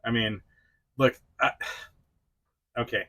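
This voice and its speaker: A man's voice: mid-range voice, takes it slowly, bright, forceful tone. A middle-aged man hosting a casual review vlog, chatty and self-deprecating, talking straight to the viewer. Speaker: toy review channel host.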